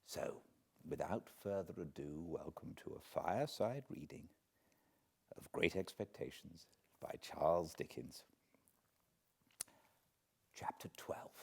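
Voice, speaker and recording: A British man reading classic literature aloud as a narrator, in a measured, dramatic manner with deliberate pauses. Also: sits low, sing-song, creaky, husky. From Britain. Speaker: audiobook narrator, host of fireside readings. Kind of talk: expressive literary storybook reading.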